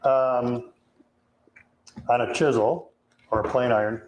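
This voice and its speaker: A man from Chicago in his fifties with a deep voice and a Chicago accent, hosting a live question-and-answer chat and carefully reading viewer questions aloud. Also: steady tone, slow, reverberant, muffled recording. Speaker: host.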